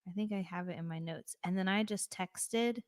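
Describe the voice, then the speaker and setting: A woman's voice: mid-range voice, moderate pace. Woman chiming in on a casual chat. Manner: interjecting, correcting the other speaker.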